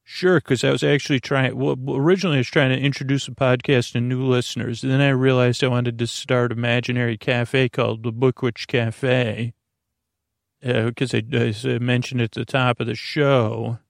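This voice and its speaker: A man hosting a bedtime podcast in a rambling monologue, halting and interrupting himself, with sentences that wander and trail off, in a gravelly voice. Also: medium pitch, level tone, dry recording, clean studio sound. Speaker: podcast host.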